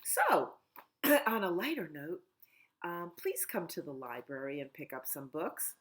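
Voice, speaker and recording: A middle-aged woman at home, a children's librarian talking gently and in a sing-song way during a storytime. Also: fairly low pitch, sing-song, unhurried. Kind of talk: storytime reading and chat for children.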